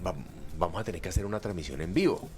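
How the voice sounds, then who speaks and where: A man hosting a radio interview from a booth, often checking in with a quick "sí?". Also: deep voice, music in the background. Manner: checks in with the listener, asking "sí?". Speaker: radio host and social communicator.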